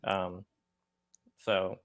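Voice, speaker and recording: A man's voice: sits low, little pitch movement, very slow, a bit bright. A man co-hosting a live podcast, chatting casually and responding to comments.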